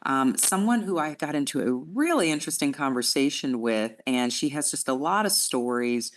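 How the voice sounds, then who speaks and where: A woman's voice: rumbling low, expressive, moderate pace. A woman hosting a podcast interview, talking in a chatty, encouraging way with lots of fillers. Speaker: podcast host. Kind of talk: interview host.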